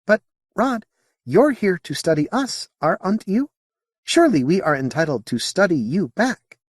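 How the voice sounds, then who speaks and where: A high, lilting female alien who studies humans with calm curiosity, played by a man reading a storybook. She speaks slowly and enunciates with care, in formal, slightly off grammar. The sound is close and dry. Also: breathy edge, no room sound, heavily acted. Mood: curious. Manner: slow careful enunciation, formal phrasing.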